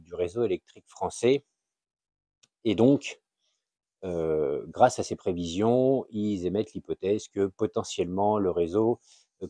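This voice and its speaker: Deep-voiced Frenchman, hesitant, dark tone. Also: dry recording. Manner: hesitant, halting, searching for words. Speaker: YouTube channel host sharing a tip. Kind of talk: informal unscripted explainer.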